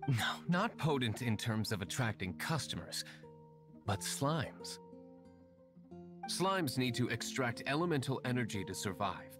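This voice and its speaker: A man playing a composed, brooding wealthy young nobleman in a video game, speaking formally and with measured phrasing. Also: mid-range voice, very animated. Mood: calm, sly. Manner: formal, measured, well-spoken. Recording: music playing behind the voice.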